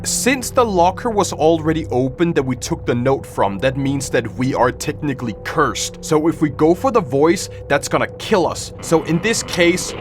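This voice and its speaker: A man's voice: fairly high pitch, lively intonation, moderate pace, hardly any pauses. A man streaming casual reaction commentary on a horror game, thinking aloud as he reasons through his choices. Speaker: streamer, host. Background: music.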